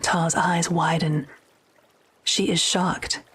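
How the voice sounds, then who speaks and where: A woman narrating a scripted audio drama in a measured, descriptive way. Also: on the low side, level tone, fairly bright tone, husky.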